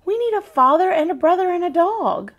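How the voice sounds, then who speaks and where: A woman's voice: very high voice, animated, brisk, voice pushed up. A woman voicing a plaintive, stubborn young girl in a children's storybook. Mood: upset. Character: young girl, imaginative, stubborn, longing for family.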